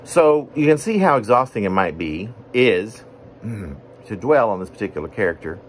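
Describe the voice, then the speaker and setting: A man reading his own poetry as a rambling, wordy monologue, deliberate and pausing mid-phrase. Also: fairly low pitch, very animated, a little hiss or hum. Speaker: poet reading own work.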